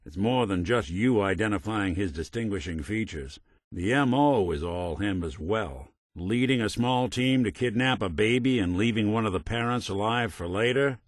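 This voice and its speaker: A weary old synthetic gumshoe with a low, expressive voice that rises and drops freely. He is wry and loyal, talking in slangy hard-boiled noir fashion. The game recording is dry and close, with no room around it. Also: moderate pace, no echo. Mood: grave. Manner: wry, world-weary, slangy detective talk.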